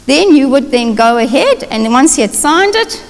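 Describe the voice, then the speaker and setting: A woman's voice: high-pitched, sing-song, normal speed. Chatty South African woman preacher. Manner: chatty, anecdotal, self-deprecating. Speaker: pastor. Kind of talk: sermon, personal storytelling. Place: church sanctuary. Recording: reverberant church hall.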